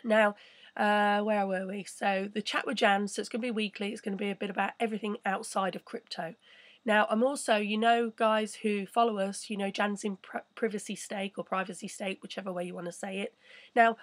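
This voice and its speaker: A woman hosting a casual live stream, chatting and rambling with a croaky voice. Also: medium pitch, steady tone, fairly quick, no room sound. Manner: chatty, rambling, reads viewer messages. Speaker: crypto channel host.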